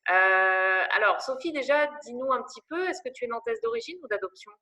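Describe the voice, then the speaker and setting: A woman's voice: a little high, lively intonation, brisk, sharp tone. A woman interviewing a guest for a women's network, asking questions with many hesitations. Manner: hesitant, many fillers and restarts.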